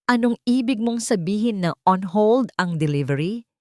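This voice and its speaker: An arrogant rich boss, as a woman narrator plays him, scornful and quick to anger. The voice swings widely in pitch and slips into English, in a dramatic audio story. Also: expressive, no room sound, studio quality, close to an everyday voice. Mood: angry, shouting. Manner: scornful, code-switching into English. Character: arrogant, irritable rich male business executive, dismissive and hot-tempered.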